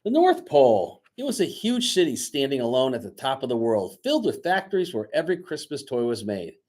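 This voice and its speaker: Guy teacher doing storytime for his students, very expressive, pitch goes up and down a lot. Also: a little fast. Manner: expressive, dramatic reading. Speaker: schoolteacher reading aloud to pupils. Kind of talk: children's storybook read-aloud and chat to pupils. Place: indoors, recorded remotely on video.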